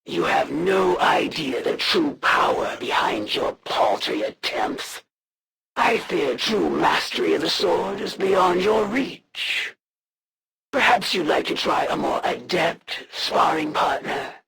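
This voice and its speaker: A woman voicing a proud, honor-bound alien female assassin for a video game, speaking in a formal, stately way with a gravelly, creaky edge. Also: lowish voice, expressive, a bit bright, a little hiss or hum, full character voice. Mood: disdainful. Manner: formal, archaic, stately and deliberate. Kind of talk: video game villain voice lines.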